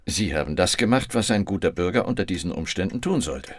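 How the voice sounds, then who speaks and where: An actor playing a controlled, official police captain who questions people in a formal, methodical way. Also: male voice, low-pitched, expressive, brisk. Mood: formal, probing. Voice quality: gravelly, creaky.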